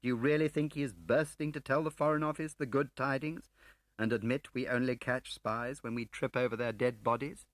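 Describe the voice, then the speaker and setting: A man voicing an urbane, flippant gentleman spy in an audiobook, with a droll upper-class English manner. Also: mid-range voice, steady tone, fairly quick, no room sound, pitched above the performer's own voice, lightly acted. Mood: wry. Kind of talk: audiobook character acting.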